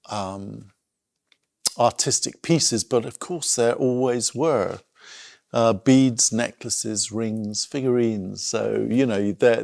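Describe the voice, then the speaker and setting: A middle-aged man giving an informal public talk in a reflective, hesitant way, pausing as he thinks aloud. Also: medium pitch, vocal fry, darkish tone, no echo, clean studio sound. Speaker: art school director giving a talk.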